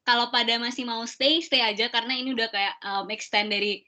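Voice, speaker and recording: A woman's voice: a little high, steady tone, brisk, bright, forceful tone. A young woman hosting a student Q&A in casual chat, explaining things with lots of fillers over a video call. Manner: chatty, explaining with many fillers. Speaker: student event host. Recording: dull lo-fi video call audio.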